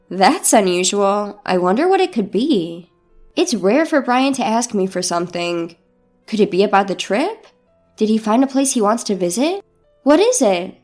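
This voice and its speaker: Woman with a high voice reading out a personal drama story, scripted, faint music under it. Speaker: story narrator.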